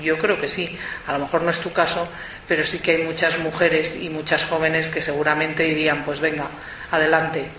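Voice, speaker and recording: A man with a high, bright voice argues his case firmly and insistently in a studio debate. He is picked up from a distance in an echoey room, with faint noise behind him. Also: level tone, moderate pace. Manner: firm, insistent arguing. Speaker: debate participant.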